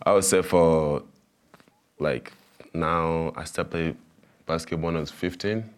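Very deep-voiced young Tanzanian man. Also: steady tone. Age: young adult. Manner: soft-spoken, halting, simple phrasing with fillers. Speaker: college basketball player guest. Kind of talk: casual interview answers.

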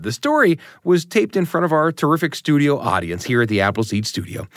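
A man hosts a story program with an upbeat, emphatic delivery. His pitch moves in lively swings, and the studio sound is close and dry. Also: dry recording. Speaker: radio show host. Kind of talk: scripted, conversational story-show introduction.

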